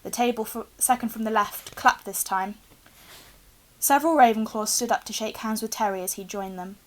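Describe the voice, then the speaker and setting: A woman's voice: on the high side, fairly even intonation, moderate pace, rough recording. Young woman reading a story aloud. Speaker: reader, teacher. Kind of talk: expressive storybook narration read aloud. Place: small room indoors with bookshelves.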